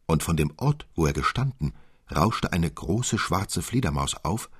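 A man narrating a literary tale in an expressive, deliberate, old-fashioned storytelling manner. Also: low-pitched, husky, no echo, clean studio sound. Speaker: audiobook narrator.

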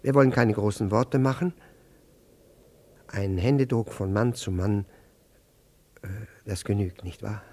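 Husky, sputtering, pompous older gentleman. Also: fairly low pitch, measured pace, long pauses. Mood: flustered, polite. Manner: sputtering, stammering, pompous formal phrasing. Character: indignant, flustered, pompous old jealous husband. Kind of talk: 1960s German radio play acting.

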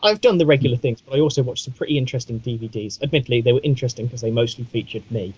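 A man with a slightly husky voice and average pitch co-hosts a casual podcast. He talks in a wry, discursive, self-mocking way. The recording is a little dull and lo-fi. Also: lively intonation, rapid-fire, a little mellow. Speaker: podcast co-host.

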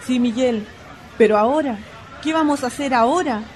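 Woman acting plaintive, high-voiced Chilean slum wife. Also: moderate pace, a little mellow, some chatter behind, slight background noise, natural voice. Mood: despairing, worried. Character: worried, weary poor shantytown wife. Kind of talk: radio drama acting.

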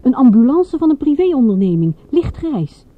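An actress playing a composed, practical detective's companion in a radio drama, matter-of-fact with a light dry touch. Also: female voice, high-pitched, big pitch swings, normal speed. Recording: dull, muffled radio recording.

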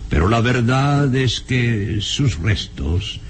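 An actor playing a grave, shaken professor who recounts dark secrets in a dramatic, halting radionovela manner. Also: male voice, expressive. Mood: ominous. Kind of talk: old radio serial acting. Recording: noisy old radio serial recording, rumble.

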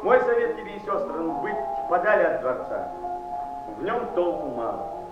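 An actor playing a bitter, proud, passionate poet, reciting verse and letters in a drawn-out theatrical declamation. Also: male voice, high-pitched, very animated, highs cut off, loud music behind the voice. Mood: bitter, agitated. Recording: noisy, echoey 1970s Soviet stage-play recording, distant.

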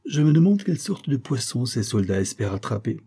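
A man playing a grumbling, suspicious tourist husband, gruff, sardonic and colloquial. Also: medium pitch, sing-song, fairly quick, mellow, voice pushed up, exaggerated voice. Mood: curious.

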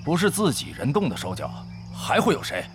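An actor playing a hot-headed, defiant guild leader in a Chinese audio drama, confrontational and declamatory. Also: male voice, on the high side, huge pitch swings, a little fast, faint music behind. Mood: indignant, defiant. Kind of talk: Chinese audio drama acting of an online game story.

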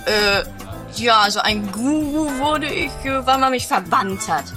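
Man acting a grumbling, deadpan guru. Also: extremely high pitch, unhurried, music in the background. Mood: halting, self-important. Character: grumpy, dry, banished male guru, lazy and opinionated. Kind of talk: amateur comedy radio play acting.

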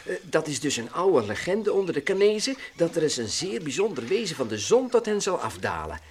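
A man's voice: a little high, very animated, brisk, husky. A male actor playing a curious, sensible companion who puzzles things out aloud with exclamations in a children's radio play. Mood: reasoning. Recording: old radio recording with faint noise.

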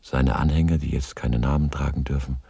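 Very deep, gravelly male narrator. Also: fairly even intonation, a little fast, dark tone, dry recording. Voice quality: gravelly, husky. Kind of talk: literary radio drama narration.

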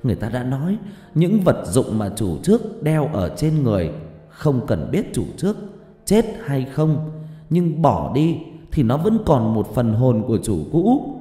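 A man's voice: lively intonation, normal speed, warm tone, natural voice. A man voicing an angry, superstitious older woman who scolds her son and daughter-in-law. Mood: scolding. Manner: scolding, shrill outbursts. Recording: roomy hall reverb, background music.

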